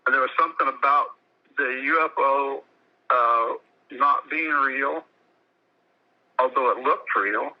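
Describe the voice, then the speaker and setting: A dull, lo-fi phone call recording carries a man's medium-pitched voice. He talks informally and haltingly, often circling back to repeat his words.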